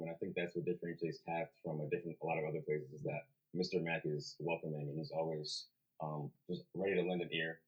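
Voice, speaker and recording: Very deep, husky young man. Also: rough recording. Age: young adult. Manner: relaxed, frequent "um". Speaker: alumnus tour guide. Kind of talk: casual tour narration.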